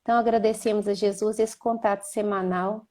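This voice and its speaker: A woman with a Minas Gerais accent hosting a live video call, chatting and then leading a slow, gentle prayer. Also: mid-range voice, little pitch movement. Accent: Minas Gerais Portuguese. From Minas Gerais, Brazil. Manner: slow, gentle and devout when praying. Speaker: host.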